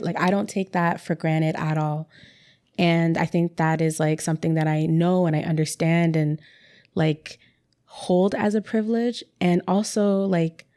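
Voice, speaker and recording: A woman's voice: lowish voice, warm tone. A young adult woman, a guest in a casual interview, talking in a chatty way with many "like" fillers and repeated words. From Canada.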